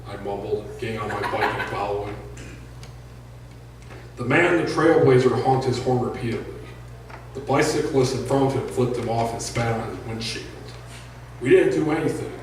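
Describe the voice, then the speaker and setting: A man with a deep voice, an author reading his own prose fiction aloud at a live event, with casual asides to the listeners. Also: a little mellow, slight background noise. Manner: plain unhurried reading with joking asides to the audience. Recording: echoey room from far off.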